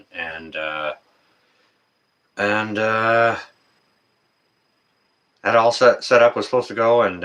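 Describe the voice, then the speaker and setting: Male, 50s, deep but forward and bright voice; folksy, rambling, unscripted livestream chatter with a halting flow. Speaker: livestream host. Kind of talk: casual livestream chat.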